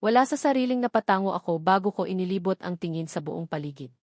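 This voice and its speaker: A woman's voice: lowish voice, lively intonation, fast talker, darkish tone, no echo, studio quality. Filipino woman narrating a romance story. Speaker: audiobook narrator. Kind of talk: scripted first-person narration of a romance novel.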